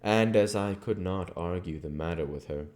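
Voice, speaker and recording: A man reading a classic novel aloud as narrator, in a measured, plain way. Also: low-pitched, darkish tone. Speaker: audiobook reader and channel host.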